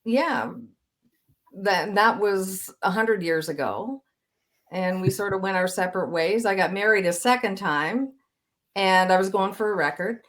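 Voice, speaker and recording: A woman's voice: mid-range voice, measured pace, a bit bright. Older woman from Canada, voice talent, chatty, laughs easily, video call. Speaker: voice talent, guest. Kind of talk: casual interview chat.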